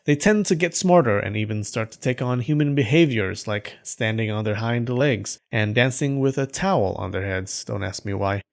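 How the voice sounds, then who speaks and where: Man narrating folktales with deadpan humor. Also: medium pitch. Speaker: storyteller, channel host.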